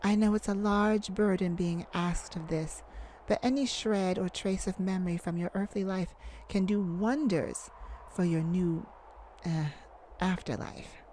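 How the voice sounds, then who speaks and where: A woman's voice: lowish voice, fairly even intonation, a little slow, dark tone, a little hiss or hum, natural voice. A woman voicing a courteous, easily flustered, ageless celestial afterlife caseworker in an ASMR roleplay, hesitant and apologetic. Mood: gentle, official. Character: polite, flustered, thousands-year-old celestial afterlife caseworker.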